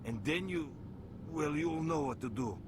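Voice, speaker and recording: An actor playing an urgent, loyal comrade in a video game, talking in an earnest, insistent, gravelly voice. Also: male voice, animated, a little slow, background noise. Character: urgent, loyal male comrade briefing his friend. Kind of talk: video game cutscene acting. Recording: loud rumbling ambience behind the voice.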